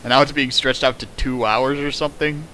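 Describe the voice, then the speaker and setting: Bright-voiced young man reading sarcastically. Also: medium pitch, steady tone, fairly quick, poor audio. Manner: sarcastic, jokey, laughs while reading. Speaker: reader and riffer of a fan fiction. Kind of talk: comedic dramatic reading with riffing.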